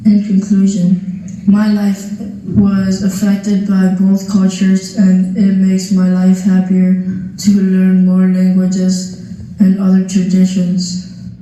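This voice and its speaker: A young boy slowly reading his essay aloud, careful and even. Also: high voice. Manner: slow, careful, flat reading. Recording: video played through speakers, heard from far off in an echoey gym.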